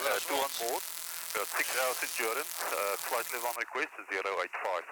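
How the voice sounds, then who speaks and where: A man's voice: medium pitch, fast talker, heavy background noise. A man making clipped, procedural pilot calls over an aircraft radio. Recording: cockpit radio audio under loud music.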